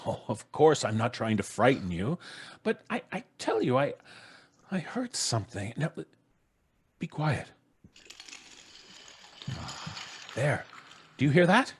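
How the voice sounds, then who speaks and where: A man's voice: mid-range voice, huge pitch swings, a little slow, long pauses, slightly rough, full character voice. An actor playing a grumbling, stammering, sceptical father in an old-time radio comedy. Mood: uneasy, grumbling. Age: middle-aged. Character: blustering, practical, sceptical husband and father. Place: small home studio on a video call. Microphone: close studio microphone.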